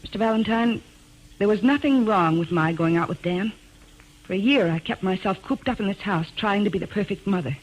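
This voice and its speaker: A woman's voice: mid-range voice, fairly quick, close to an everyday voice. An actress playing a defensive, strained young American mother in an old-time radio drama, speaking earnestly. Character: strained, defensive young mother fighting to keep her child. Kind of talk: 1940s-50s radio drama acting. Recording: noisy vintage radio drama recording.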